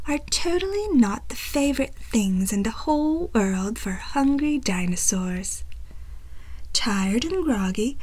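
Female storyteller for picture books: unhurried, very animated, wry, deliberately emphatic. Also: a little high, no room sound, poor audio. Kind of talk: picture-book read-aloud narration.

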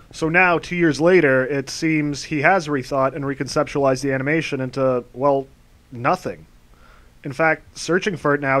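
A man giving a sarcastic commentary as a video essay host, dry and mocking. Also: fairly high pitch.

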